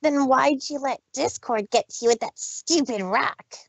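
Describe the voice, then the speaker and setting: A woman's voice: high-pitched, measured pace, no room sound, highs cut off. A woman voicing an angry little dragon boy. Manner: snarling, accusing. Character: small angry baby dragon, male.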